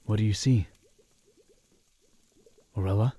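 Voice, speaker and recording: A man's voice: on the low side, slow pace, mellow, dry recording. A man playing an earnest, self-doubting young crusader who speaks formally and deferentially in a medieval fantasy audio drama. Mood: respectful.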